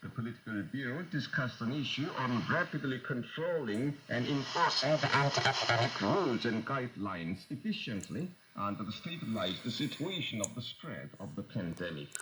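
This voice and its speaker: Through noisy shortwave radio reception comes a male news announcer reading a formal script. His delivery is stiff and official, with a medium-pitched voice that rises and falls noticeably. Each phrase is set out deliberately. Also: expressive. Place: radio broadcast studio, received over shortwave.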